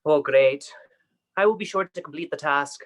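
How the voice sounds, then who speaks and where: An actor playing a deferential, uneasy young medical assistant in a radio play, speaking politely and a little hesitantly. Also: male voice, fairly high pitch, normal speed, a bit bright, natural voice. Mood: dutiful.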